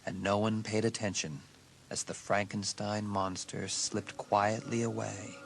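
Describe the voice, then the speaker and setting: A man's voice: on the low side, little pitch movement, measured pace, gravelly, noisy background. A man reading a dramatised horror audiobook as a scripted narrator.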